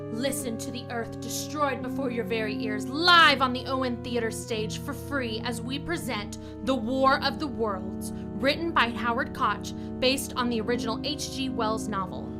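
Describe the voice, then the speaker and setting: A woman's voice: on the high side, normal speed, few pauses. A woman reading a dramatic scripted radio promo as an announcer. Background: plucked guitar music.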